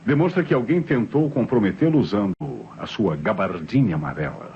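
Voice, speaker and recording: A man's voice: lowish voice, animated, natural voice. A man with a Brazilian accent acting a shrewd police inspector in an old radio crime drama, formal and courteous as he probes. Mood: smoothly insinuating. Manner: formal, probing, deliberately courteous. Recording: dull, noisy old radio drama recording.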